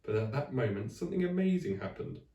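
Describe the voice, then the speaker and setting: A man reading a children's story aloud in expressive narration, like a teacher to a class. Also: mid-range voice, fast, creaky, far from the mic. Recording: echoey room, away from the mic.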